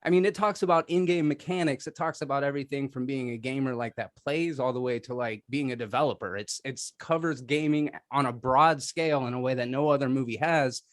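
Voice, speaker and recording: A man's voice: mid-range voice, a little fast, few pauses. A man making a casual, off-the-cuff debate argument with a sarcastic, wry manner. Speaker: debate contestant, film podcaster.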